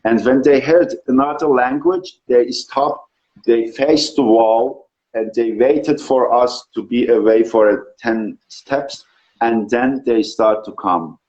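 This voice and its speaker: A man from Iran whose first language is Turkish, telling his experiences in an interview, earnest and pausing often with fillers. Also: medium pitch, a little mellow, highs cut off. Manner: earnest, searching for words with many fillers. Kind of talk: casual interview talk.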